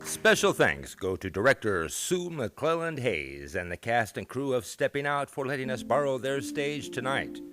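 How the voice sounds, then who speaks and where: A man's voice: mid-range voice, big pitch swings, normal speed, few pauses. A man announcing in a scripted radio show style, reading out a sign-off and credits. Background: guitar music.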